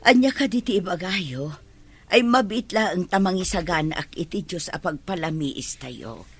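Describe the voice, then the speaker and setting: A woman playing a humble, patient household maid, gentle and deferential, with a breathy voice, in an Ilocano radio drama. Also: on the low side, lively intonation, moderate pace, faint music behind. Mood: respectful, subdued.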